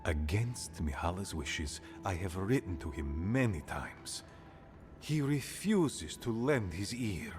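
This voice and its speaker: Fantasy game: aging dwarf leader, low pitch with lively swings, grave, pragmatic, blunt, faint music behind. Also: male voice, slow pace. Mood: earnest, grave. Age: older. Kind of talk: fantasy video game dialogue.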